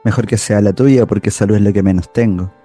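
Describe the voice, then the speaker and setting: A man's voice: on the low side, fairly even intonation, brisk, mellow, breathy edge, faint music behind. A man playing a wry, teasing writer in a radio drama. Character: sickly, teasing male writer in quiet night conversation.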